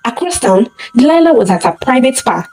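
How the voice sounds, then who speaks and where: A woman's voice: fairly high pitch, very animated, a little fast, a little mellow, a little hiss or hum, low-quality recording. A woman telling a scripted dramatic story as narrator, theatrical and suspenseful, in short punchy phrases.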